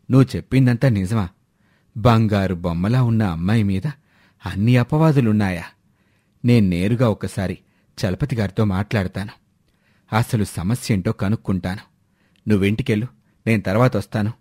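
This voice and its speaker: Man playing a deep-voiced, reassuring village helper. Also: moderate pace, pauses often, mellow, dry recording, clean studio sound, natural voice. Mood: concerned. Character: calm, determined village man who helps troubled friends. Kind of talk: dramatised moral story dialogue.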